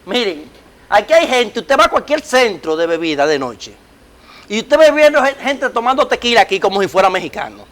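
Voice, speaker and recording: A middle-aged Dominican man with a Dominican Spanish accent hosts a talk show, speaking in a high voice. He is animated and emphatic and often cuts in on his guests. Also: a little fast. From Dominican Republic. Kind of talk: conversational interview.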